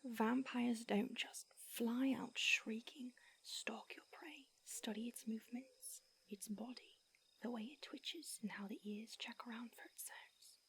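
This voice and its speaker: A woman voicing an ancient female vampire who mentors her fledgling, speaking in a hushed, breathy whisper with hesitant restarts. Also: fairly high pitch, fairly even intonation, unhurried, slight background noise, rough recording. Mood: hushed, instructive. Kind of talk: audio roleplay.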